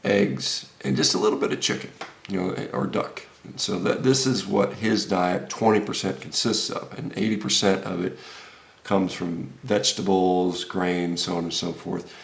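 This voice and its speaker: American male vlogger, fifties, low-pitched with heavy fry, halting unscripted commentary. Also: steady tone. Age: 50s. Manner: halting, with frequent hesitations and repeated words. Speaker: health vlogger. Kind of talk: informal unscripted video commentary. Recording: roomy, echoey room sound.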